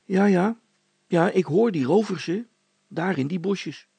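A man voicing a calm, joking young villager in a storybook, talking in a casual, teasing way. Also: sits high, measured pace, creaky, breathy edge, no echo, pitched above the performer's own voice, full character voice.

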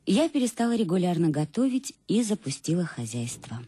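An actress playing a wistful married woman in a radio play, giving an intimate, reflective confession in a measured way. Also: fairly low pitch, big pitch swings, mellow, husky, dry recording. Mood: wry, weary. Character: wistful married woman recalling her fading love. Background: music, guitar.

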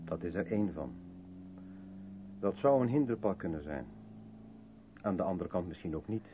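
A man's voice: low-pitched, lively intonation, moderate pace. A tinny old radio drama recording with faint noise carries a guarded, withdrawn man. He broods and questions himself, hesitant and full of self-doubt, in the manner of seventies radio acting. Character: withdrawn, self-doubting mixed-race South African man, guarded intellectual. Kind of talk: 1970s Dutch radio play acting.

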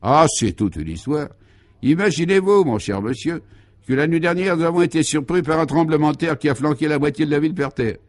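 A man's voice: a little high, lively intonation, fairly quick, voice pushed up, close to an everyday voice. A man voicing a fat, jovial small-town mayor in a comic radio serial, declamatory and unshakably optimistic. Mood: proudly emphatic.

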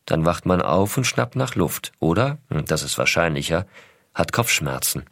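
A man playing an astronaut father reading his letters to his young son, measured and intimate, explaining things simply as to a child. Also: sits low, big pitch swings, vocal fry, a bit bright, no room sound, clean studio sound, exaggerated voice. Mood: calm, hushed. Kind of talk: scripted narration, letters read aloud.

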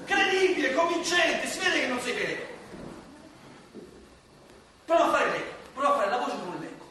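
A man playing a bossy, exasperated ghost coach, hectoring and excitable, in a comic radio play. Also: very high voice, normal speed, exaggerated voice. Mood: bossy, impatient. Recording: echoey noisy hall, far from mic.

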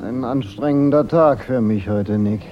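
An actor playing a wry, unflappable private detective in a German radio drama. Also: male voice, medium pitch, unhurried, dull audio, noisy background, natural voice. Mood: cool under pressure. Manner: dry, sardonic.

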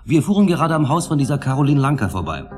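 An actor playing a chatty, obliging witness in a German radio drama, talking matter-of-factly. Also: male voice, fairly high pitch, very animated, fast. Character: chatty male witness reporting to a police inspector. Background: plucked guitar music.

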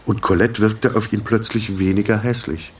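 An actor playing a sober, detached storyteller, narrating a literary radio drama in a measured manner. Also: male voice, lowish voice, steady tone, a little hiss or hum, close to an everyday voice.

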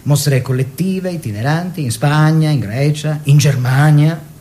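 An actor playing a self-satisfied, worldly art-collector husband who tells stories with wry emphasis in a radio play. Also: male voice, a little high, a little slow, mellow, lightly acted. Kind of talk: theatrical radio storytelling in dialogue.

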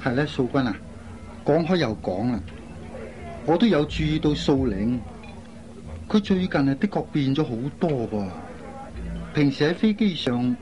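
A man's voice: a little high, darkish tone. An actor playing an earnest, concerned airline crewman in a radio drama. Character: earnest, concerned man, a flight crew colleague. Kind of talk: Cantonese radio drama acting. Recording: dull lo-fi recording, faint noise.

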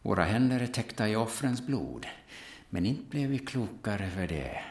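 A man playing a gruff, solemn, seasoned hunter who instructs and commands in an audio drama. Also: lowish voice, lively intonation, roomy sound. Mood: sober, sceptical.

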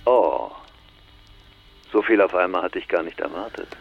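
An actor playing a teasing, enigmatic older man in a radio drama, speaking in a wry, measured, gravelly way through a telephone filter. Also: low-pitched, lively intonation, heavily acted. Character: teasing, enigmatic older man, long-lost friend calling. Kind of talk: radio drama phone dialogue. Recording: slightly dull, band-limited phone line.

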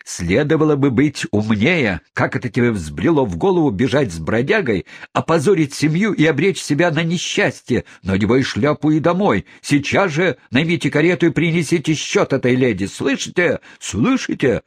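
A man reading aloud and voicing a furious, bullying gentleman who shouts and blusters. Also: on the low side, slow pace, hardly any pauses, slightly rough, no echo, clean studio sound. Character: furious bullying middle-aged English gentleman.

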